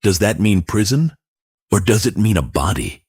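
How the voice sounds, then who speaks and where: A man's voice: fairly low pitch, big pitch swings, normal speed, a little mellow, husky, full character voice. A man voicing a wary, betrayed younger pregnant bride in a dramatic story, speaking directly.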